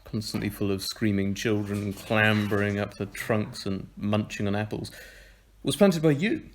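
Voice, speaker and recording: A man's voice: on the low side, normal speed, slight background noise, lightly acted. A man playing a sceptical, bemused young newcomer in an audio drama, speaking in a dry, hesitant, stammering way.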